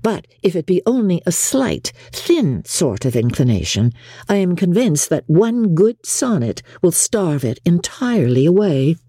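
A narrator voicing a lively, witty young woman with a pointed, playful manner in an audiobook. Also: mid-range voice, measured pace, slightly rough, dry recording, studio quality, voice pushed up, heavily acted. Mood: playfully arguing. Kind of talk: audiobook character dialogue.

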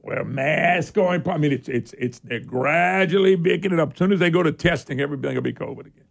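Gravelly hoarse older talk-radio host. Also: male voice, on the high side, brisk. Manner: folksy, animated, rambling. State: hoarse, recovering from a sore throat. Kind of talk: casual opinionated talk radio.

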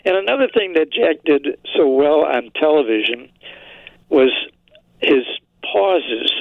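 Older man with a creaky voice. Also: a little high, animated, unhurried, no room sound, narrow, tinny audio. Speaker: radio historian guest. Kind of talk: anecdotal storytelling in a radio interview.

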